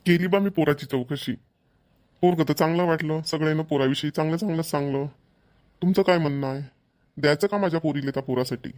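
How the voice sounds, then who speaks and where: A man's voice: on the high side, fast talker, a little mellow, lightly acted. A man voicing an earnest village father in a homemade cartoon, speaking colloquial, emphatic, repetitive Marathi with a Vidarbha accent. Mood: agreeable, decided. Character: earnest village father arranging his daughter's marriage.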